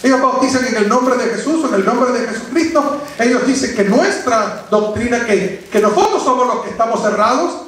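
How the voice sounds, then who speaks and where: A man's voice: extremely high pitch, expressive, normal speed, fairly bright tone, a little hiss or hum. A man preaching a doctrinal sermon to a congregation, emphatic and didactic, repeating phrases for stress and asking rhetorical questions. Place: echoey room, preaching before a congregation. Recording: very echoey, reverberant room.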